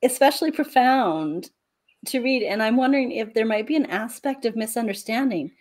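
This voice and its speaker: A woman hosts a book interview in a slightly high voice. She asks long, careful questions and answers her guest with short, affirming agreements. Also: expressive, normal speed, darkish tone. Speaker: podcast host. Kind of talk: casual interview chat.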